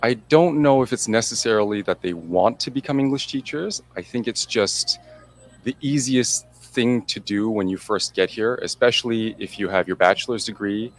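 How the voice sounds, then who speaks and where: A man's voice: fairly low pitch, moderate pace. A man in an interview explaining things in a matter-of-fact way. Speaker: interviewee, English teacher.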